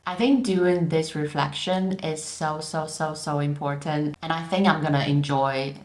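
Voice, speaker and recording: Low-voiced young woman vlogger, echoey room. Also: steady tone, unhurried, darkish tone. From Taiwan. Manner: chatty, candid, repeats words for emphasis. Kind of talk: casual vlog talk.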